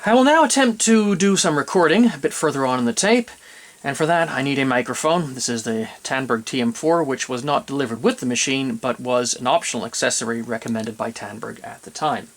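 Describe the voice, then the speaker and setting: A man's voice: medium pitch, a little fast. Methodical man giving a demonstration. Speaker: presenter demonstrating vintage audio gear. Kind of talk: unscripted demonstration narration.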